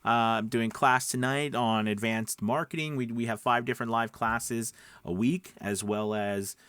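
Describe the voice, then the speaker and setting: A man's voice: mid-range voice, fairly even intonation, a little slow, fairly bright tone, smooth, no room sound. A man who coaches voice-over talking casually and emphatically to his viewers, often checking that they follow him. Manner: conversational, emphatic, often checking understanding with rhetorical questions. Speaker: voice-over coach and channel host. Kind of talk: casual advice talk to camera.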